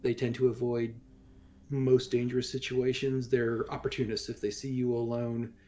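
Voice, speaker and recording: A man's voice: medium pitch, level tone, moderate pace, mellow, lightly acted. A man playing a composed android chaplain in a tabletop game, speaking in a formal, matter-of-fact way.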